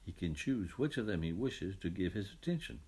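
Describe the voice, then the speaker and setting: A man reading a children's Bible storybook, voicing God as a calm, fatherly creator who explains things with gentle authority. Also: deep voice, moderate pace, husky, full character voice. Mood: reassuring.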